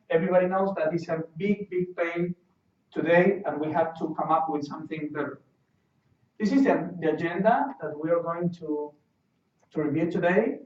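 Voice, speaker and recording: A man from Argentina with an Argentine Spanish accent, a Spanish speaker giving a technical presentation at a meeting, halting and repeating words as he searches for them. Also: on the high side, darkish tone. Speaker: guest speaker. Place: meeting room. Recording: roomy, distant, dull sound.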